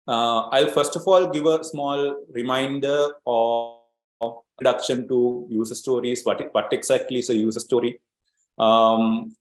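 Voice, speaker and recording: A man's voice: medium pitch, darkish tone, roomy sound, rough recording. A man from Sri Lanka presenting an online training webinar as an agile coach, explaining steadily with many fillers and repeated words.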